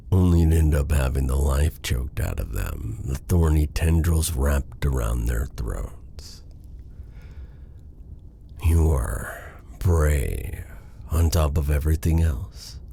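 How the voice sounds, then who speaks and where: An exiled dark sorcerer in a fantasy ASMR roleplay, with a gravelly, mellow voice. He is a smooth-talking villain, theatrical and teasing, and his delivery swings expressively between menace and flirtation. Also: slight background noise, heavily acted. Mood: silky, admiring. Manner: theatrical, silky, teasing, deliberate delivery with low chuckles.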